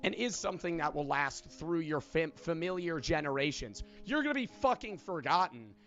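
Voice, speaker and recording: A man in his twenties talks through life advice with strong emphasis and plenty of swearing. His voice sits high and swings widely in pitch. The sound is dull and lo-fi, as if played from a video. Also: lively intonation, normal speed, a bit bright, faint music behind. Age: 20s. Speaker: online video creator. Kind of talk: motivational monologue.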